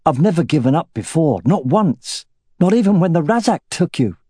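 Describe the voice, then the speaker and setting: A man voicing a young husband, halting and strained with shame. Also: medium pitch, big pitch swings, darkish tone, slightly rough, no echo, clean studio sound, pitched above the performer's own voice. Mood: anguished. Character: young husband, anguished and ashamed.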